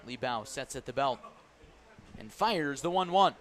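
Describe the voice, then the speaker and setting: A man calling a baseball game live on radio as the play-by-play announcer, describing each pitch in detail. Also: unhurried. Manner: descriptive, excited calls rising on big plays. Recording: clear background noise at ballpark. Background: ballpark ambience.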